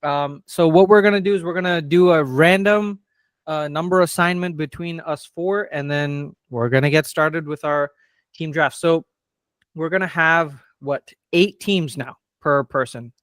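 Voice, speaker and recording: A man's voice: a little high, a little slow, a bit bright, smooth, dry recording. Upbeat man in his twenties hosting. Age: 20s. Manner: upbeat, runs the segments with fillers. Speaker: podcast host. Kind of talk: casual sports chat.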